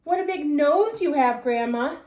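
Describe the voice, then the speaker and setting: A woman's voice: high-pitched, animated, a little slow, darkish tone, reverberant, pitched above the performer's own voice. A woman voicing a polite, plucky little cowgirl with an earnest manner in a children's story. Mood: brave, wary.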